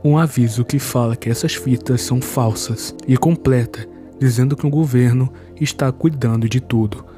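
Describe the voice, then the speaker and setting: A man's voice: medium pitch, normal speed, mellow, soft background music. A man with a Brazilian Portuguese accent giving a scripted narration that recaps a horror series.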